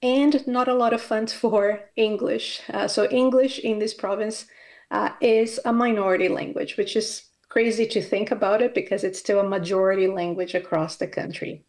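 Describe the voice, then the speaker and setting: A woman's voice: medium pitch, steady tone, normal speed, darkish tone. Woman from Brazil giving an academic talk. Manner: hesitant, many fillers and restarts. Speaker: university academic speaker. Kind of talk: conference presentation.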